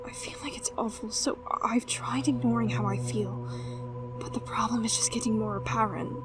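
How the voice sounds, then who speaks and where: Woman playing an anxious, breathy young-sounding queen mother. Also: on the high side, fairly even intonation, normal speed, whispered, over loud music, close to an everyday voice. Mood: troubled, hesitant. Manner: hesitant, breathy, fretful. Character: anxious queen and mother, gentle, self-doubting. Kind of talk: animated web series voice acting.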